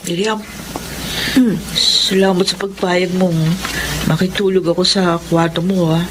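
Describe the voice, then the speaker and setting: A woman's voice: lowish voice, steady tone, unhurried, natural voice. A woman playing a brash, boastful tomboyish young woman in a radio drama, with teasing, cocky banter, on a noisy old recording. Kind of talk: classic radio drama acting.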